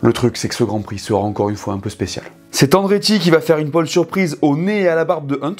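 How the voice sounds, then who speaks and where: A man's voice: a little high, lively intonation, quick pace. Guy narrating like a youtuber, casual slangy storytelling, emphatic, music behind him. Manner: colloquial, direct address to listeners, emphatic. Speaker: YouTube host telling motorsport stories. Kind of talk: conversational scripted narration. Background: background music.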